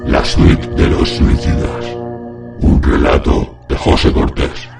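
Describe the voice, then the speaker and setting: Casting: male story title announcer, mid-pitched, slow and dramatic, clear music behind. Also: expressive.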